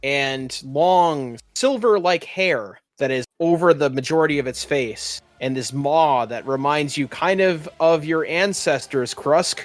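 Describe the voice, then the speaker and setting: A man with a slightly high voice runs a tabletop roleplaying game as its game master. His narration is descriptive, with dramatic pauses, over soft background music. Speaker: game master and podcast host.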